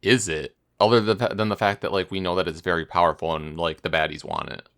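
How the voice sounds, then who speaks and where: A man explains game lore in casual podcast chat. His voice is very low and resonant, and he keeps turning statements into questions for his co-hosts. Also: steady tone, fast talker, a bit bright. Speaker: podcast co-host.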